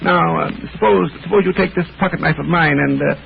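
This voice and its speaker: An actor playing a brisk, self-assured gentleman detective in a 1930s radio drama, clipped and given to repeating short phrases. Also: on the high side, vocal fry. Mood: keen, explaining. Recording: muffled, hissy old 1930s radio recording.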